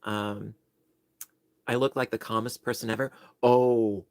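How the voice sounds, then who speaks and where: A man's voice: on the low side, steady tone, unhurried, no room sound. A man from New York with a New York accent, rambling and joking at his own expense while chatting casually on a livestream. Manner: rambling, self-deprecating, joking. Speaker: livestream host.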